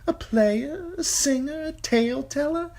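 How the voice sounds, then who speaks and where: A man voicing a fawning, balding, pot-bellied male singer with a high, strained, breathy voice that stammers and grovels. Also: measured pace, darkish tone.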